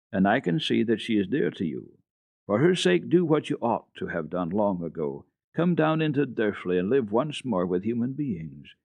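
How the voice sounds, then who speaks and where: A man's voice: on the low side, gravelly, a little mellow, dry recording, full character voice. A man voicing an earnest village pastor in a storybook reading, admonishing yet friendly, with a hearty, preaching manner. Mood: friendly, pleading.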